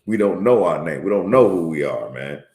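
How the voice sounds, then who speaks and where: A deep-voiced man gives an informal Bible teaching, circling back over his points and ending phrases with "man." When he reads scripture, his voice climbs higher and louder and slows right down. The room around him is echoey. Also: lively intonation. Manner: tags lines with "man", repeats himself; reads scripture aloud in a raised, louder, slower voice. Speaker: religious teacher.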